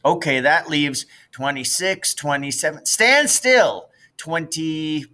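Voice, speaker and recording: A man voicing a bossy, self-important fifth-grade boy with a high-pitched voice, reading a children's storybook. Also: lively intonation, takes it slowly, pushed and bright, exaggerated voice. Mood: bossy, organizing. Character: bossy fifth-grade boy ordering other kids around.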